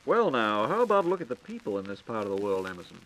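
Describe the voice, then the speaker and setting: Brisk American radio host, man. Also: fairly low pitch, sing-song, fairly bright tone. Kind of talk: scripted 1940s radio hosting. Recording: faintly noisy 1940s radio recording.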